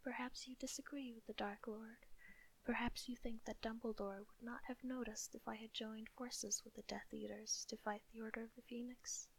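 A woman whispering the lines of a cold, sardonic male wizard who speaks smoothly and deliberately, as in a quiet audiobook reading. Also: fairly high pitch, little pitch movement, rough recording. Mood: cutting. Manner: whispered throughout.

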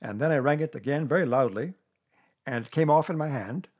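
A man's voice: a little high, fairly quick, darkish tone, breathy edge. A man voicing a pompous, self-important owl in a children's storybook, declaiming with emphasis. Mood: proud, chatty.

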